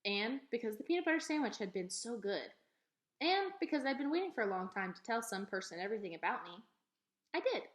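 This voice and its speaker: A woman reading a children's novel aloud in an expressive storytelling style. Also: a little high, brisk. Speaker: read-aloud reader. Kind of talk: expressive children's book narration. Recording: echoey, roomy sound.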